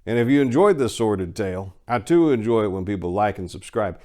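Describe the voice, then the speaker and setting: A man narrating a story as a dramatic reading, theatrical and folksy, swinging between hushed delivery and loud emphasis. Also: on the low side, expressive, brisk. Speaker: narrator and channel host.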